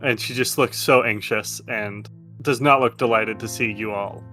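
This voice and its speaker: A man's voice: medium pitch, fairly even intonation, normal speed, bright, forceful tone, faint music behind. A man acting as game master, narrating a tabletop game in a casual style.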